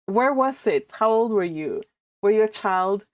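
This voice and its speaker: A Californian woman hosting a read-along, reading a novel aloud and chatting about it in an informal, animated manner. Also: medium pitch, a little slow, dry recording. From California, United States. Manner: animated, informal, exclaiming asides. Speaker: host of a live read-along.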